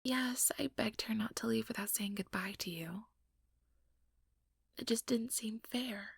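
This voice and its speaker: Slow, breathy, sugary vampire schemer played by a woman. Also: fairly high pitch, dry recording, studio quality, full character voice. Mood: falsely sympathetic. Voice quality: breathy, whispery. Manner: slow, coaxing, condescendingly sweet. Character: ambitious, sugary, manipulative female vampire official. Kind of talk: ASMR roleplay, soft whispered monologue to listener.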